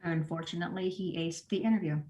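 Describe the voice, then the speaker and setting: A woman acting a disorganized, sheepish store manager in a comedy table read, halting and awkward as she rambles. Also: fairly low pitch, fairly even intonation, mellow, highs cut off, slight background noise, natural voice.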